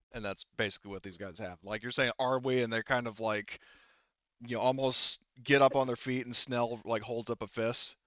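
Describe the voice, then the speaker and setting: Male game master, smooth mid-range voice, rambling and explanatory, self-correcting, dry slightly muffled close recording. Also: medium pitch, a bit bright, no echo. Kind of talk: improvised tabletop game narration.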